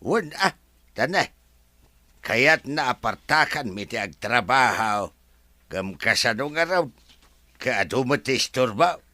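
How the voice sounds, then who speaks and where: A man with an Ilocano accent playing an eager, deferential resort worker in a comedy radio drama, full of drawn-out exclamations. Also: mid-range voice, very animated, sharp tone, no room sound, heavily acted. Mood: deferential, explaining. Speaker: voice actor.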